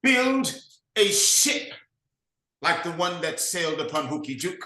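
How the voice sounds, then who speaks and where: A man's voice: big pitch swings, a little slow, pushed and bright, echoey room, exaggerated voice. An actor playing a domineering, grandiose shepherd who commands his brother, in a staged play reading. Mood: commanding. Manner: commanding, theatrical, booming declarations. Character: domineering self-styled master shepherd, grandiose man.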